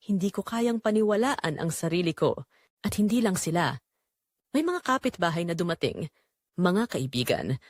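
A woman's voice: medium pitch, no room sound, clean studio sound, close to an everyday voice. A woman playing a remorseful, heartbroken wife who narrates her own story in an emotive, confessional way, as in an audio drama. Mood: anguished. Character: guilt-ridden wife Rosa, remorseful and heartbroken.

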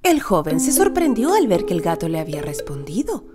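A woman reading a children's storybook as narrator, with expressive scripted delivery. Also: on the low side, fairly quick. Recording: music behind the voice.

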